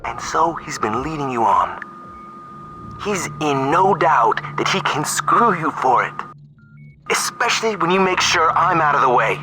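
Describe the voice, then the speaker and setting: An actor playing a stern, ruthless, self-righteous army colonel in a video game cutscene, speaking in a measured, condescending, menacing way. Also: male voice, fairly high pitch, big pitch swings, pushed and bright, full character voice. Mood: warning, insistent. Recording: music behind the voice.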